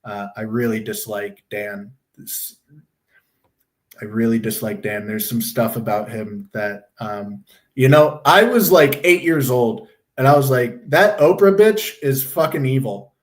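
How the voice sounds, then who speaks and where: A young man chats casually on his livestream, rambling from point to point. His voice is hoarse and going from a sore throat. He is blunt and swears without a second thought, at an ordinary middle pitch. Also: unhurried. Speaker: livestream host.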